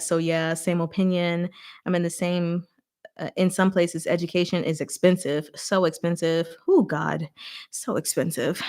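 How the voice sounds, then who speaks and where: A woman hosting a casual livestream, rambling candidly and expressively as she responds to viewers and acts out bits of dialogue. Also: fairly low pitch. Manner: animated and candid, acts out imagined dialogue, laughs often. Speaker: livestream host. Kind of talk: casual rambling livestream chat, reading and answering viewer comments.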